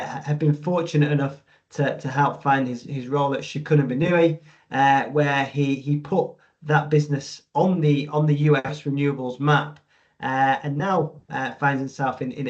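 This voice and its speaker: A man hosting an interview podcast, speaking conversationally in halting, hesitant phrases. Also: medium pitch, highs cut off. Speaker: podcast host.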